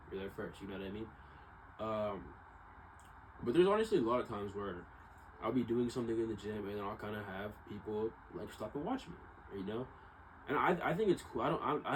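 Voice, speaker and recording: Lower-voiced young man over clear background noise. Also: a little mellow, poor audio. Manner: hesitant, reflective, circling back over points. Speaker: vlogger. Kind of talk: casual rambling monologue to viewers.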